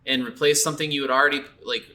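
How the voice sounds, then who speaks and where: Very bright, forceful-voiced man chatting games. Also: mid-range voice, steady tone, racing. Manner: profane, agreeable, emphatic. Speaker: podcast co-host. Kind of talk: casual video game review chat.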